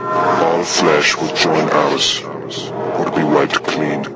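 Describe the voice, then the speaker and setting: An actor playing a solemn, menacing zealot who speaks for an alien hive mind, in a video game villain monologue. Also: male voice, extremely low pitch, flat delivery, extremely slow, loud music behind the voice, full character voice. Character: zealous alien-infected hive mind preaching unity, contemptuous. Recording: very noisy audio log.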